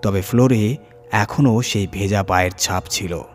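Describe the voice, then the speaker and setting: A man reading a scripted horror story dramatically, with suspenseful pauses and emphasis. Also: fairly low pitch, soft background music. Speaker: horror story reader and channel host.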